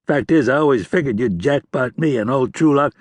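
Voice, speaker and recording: A man's voice: mid-range voice, a little fast, no room sound, voice pushed up, lightly acted. A man voicing a hard, menacing male gunslinger who speaks with scorn. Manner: threatening, scornful.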